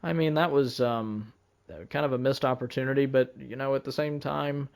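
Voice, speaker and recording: A man in a casual podcast discussion, speaking in a measured, hesitant way as he pieces his thoughts together. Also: mid-range voice, steady tone, gravelly, a little mellow. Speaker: podcast co-host or panelist.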